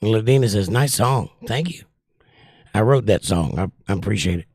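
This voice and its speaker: A man's voice: fairly low pitch, animated, moderate pace, a little mellow, breathy edge, no echo, clean studio sound. An older man, casually reading viewer comments aloud on his livestream and answering them. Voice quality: voice worn from years of trouble. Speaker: livestream host reading chat.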